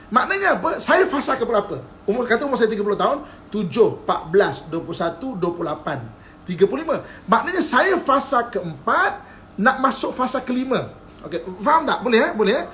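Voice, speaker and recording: A man's voice: extremely high pitch, sing-song, fairly quick, fairly bright tone, a little hiss or hum. A man with a Malaysian Malay accent giving a live-streamed religious lecture. He is playful, keeps asking whether his listeners follow, and often chuckles. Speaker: religious teacher.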